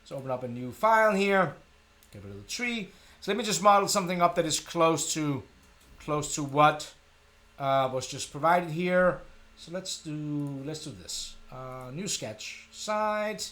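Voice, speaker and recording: Higher-voiced Danish man teaching live. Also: expressive, measured pace, fairly bright tone. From Denmark. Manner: informal, self-deprecating. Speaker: tutorial live stream host. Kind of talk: casual live software tutorial, thinking aloud.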